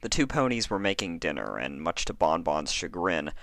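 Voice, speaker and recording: Low-voiced man, informal storyteller. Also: very animated, normal speed, gravelly, a bit bright, no room sound, studio quality. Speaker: podcaster and fan fiction reader. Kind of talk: informal introduction and story narration.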